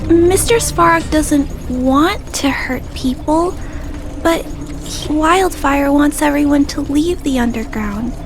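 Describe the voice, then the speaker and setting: High-pitched timid little girl. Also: slow pace. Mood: earnest, apologetic. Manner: soft-spoken, hesitant, polite, stammering. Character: gentle, timid little girl, earnest and trusting. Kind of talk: video game cutscene voice acting. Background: game music.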